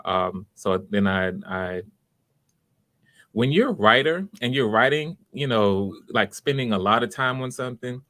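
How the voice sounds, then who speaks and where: A man hosting a live online audition stream in casual, halting, unscripted chat, welcoming and encouraging to his viewers. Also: mid-range voice, animated, a bit bright, no room sound. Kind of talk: casual livestream chat, greeting viewers by name.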